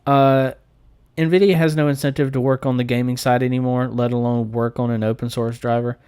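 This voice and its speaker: Man, Southern American accent, mid-pitched voice, informal joking streamer, dry recording. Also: little pitch movement, brisk, darkish tone, clear, resonant tone, no room sound. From United States. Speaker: live streamer. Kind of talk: casual live stream chat, reading and answering comments.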